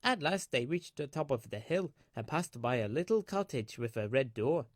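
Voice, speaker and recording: A man's voice: medium pitch, animated, normal speed, dry recording, studio quality. A man reading a children's story aloud as scripted narration.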